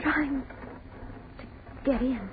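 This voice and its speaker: A woman's voice: sits high, slow pace. An actress playing a tense, bossy young woman hiding her fear, in 1940s radio horror style. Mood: hushed dread. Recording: scratchy, tinny 1940s radio broadcast.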